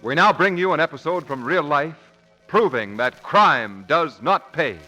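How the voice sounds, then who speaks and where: A man's voice: a little high, expressive, a little slow, music in the background. A man announcing from a script in an emphatic, dramatic 1940s radio style. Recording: old 1940s radio broadcast recording.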